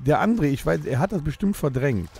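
A man in his forties with a fairly high, very lively voice that swoops up and down. He eagerly pushes his friends into activity after activity in a comedic podcast play. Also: fairly quick, gravelly, breathy edge. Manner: eager, pushy about holiday activities. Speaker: podcast co-host. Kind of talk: comedic audio play banter.

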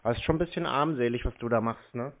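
A man's voice: medium pitch, fast. A man in a casual phone conversation, answering in a dry, unruffled, needling way. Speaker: scam-baiting call recipient. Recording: narrow, tinny phone-like sound.

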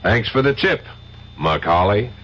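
An actor in a 1970s radio drama playing a steady detective hero with a wry, businesslike manner. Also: male voice, lowish voice, unhurried. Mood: urgent, businesslike. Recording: dull, noisy 1970s radio recording.

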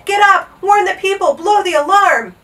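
A woman's voice: sits high, lively intonation, moderate pace, pushed and bright, far from the mic, voice pushed up. A woman voicing an earnest, alarmed young boy in a storybook, exclaiming with breathless urgency. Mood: urgent shouting. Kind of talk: animated storybook character voice.

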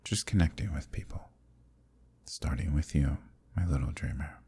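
A man playing an ancient, imprisoned eldritch villain in an ASMR roleplay, seductive and lonely, with a deep, breathy voice and an intimate, teasing manner. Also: moderate pace, warm tone, exaggerated voice. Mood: wistful, teasing. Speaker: voice actor.

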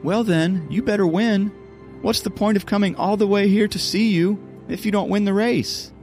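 A man voicing a bold, playful teenage high school girl who likes to tease, in an audiobook. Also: steady tone, moderate pace, a little mellow, faint music behind. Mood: teasing. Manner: teasing, mocking. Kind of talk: audiobook character voice.

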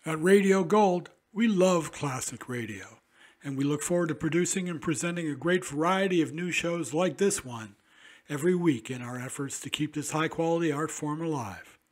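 A man's voice: on the high side, moderate pace. A man reading a scripted outro as a channel presenter.

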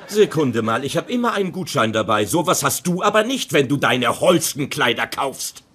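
An actor playing an easygoing but defensive middle-aged husband in a dubbed television sitcom. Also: male voice, a little high, very animated, moderate pace, fairly bright tone. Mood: indignant, bickering. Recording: noisy TV sitcom audio, background ambience.

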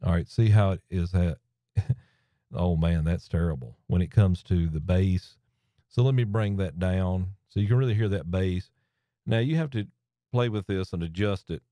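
A man's voice: low voice, a little mellow, no room sound. A man in his thirties explaining a mic processor in a casual hands-on way from a home studio desk, talking into a close studio mic and often restarting phrases. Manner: chatty, explains while turning knobs, restarts phrases. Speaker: audio gear tutorial host. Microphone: studio mic on arm close to face.

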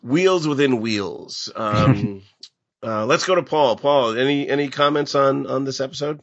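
A man's voice: mid-range voice, a little slow. A middle-aged American man hosting a casual panel discussion about old-time radio. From Pekin, United States. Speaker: podcast host. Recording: dull, lo-fi close recording.

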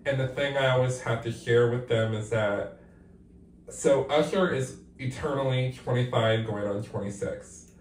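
A man in his forties talks through his experiences in a candid, thoughtful interview, filling his sentences with "like." His voice is mid-pitched and comes from a distance, with a hard echo off the tiles of a bathroom. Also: unhurried, slight background noise. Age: 40s. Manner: thoughtful, reflective, many "like" fillers. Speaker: guest playwright. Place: bathroom, sitting in a bathtub. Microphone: far camera. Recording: echoey bathroom, mic at a distance.

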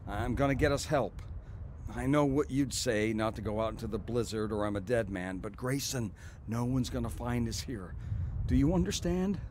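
An actor playing an earnest, emotional brother telling of his ordeal in a radio drama, with halting delivery. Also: medium pitch, a little mellow, a little hiss or hum, natural voice. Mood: urgent, tender. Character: anguished, repentant brother narrating his blizzard ordeal. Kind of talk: radio drama acting and narration.

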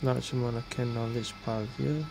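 A woman's voice: rumbling low, steady tone, brisk, creaky, low-quality recording. A woman doing casual let's play commentary, muttering to herself, reading out screen text and mildly swearing. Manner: muttering to self, mild swearing. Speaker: gaming streamer. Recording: loud game music behind voice. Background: video game music.

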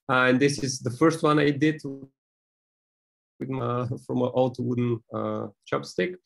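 A man in his thirties with a Bulgarian accent, giving an informal, halting presentation over a video call. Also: medium pitch, gravelly. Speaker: presenter, maker. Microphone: video call microphone.

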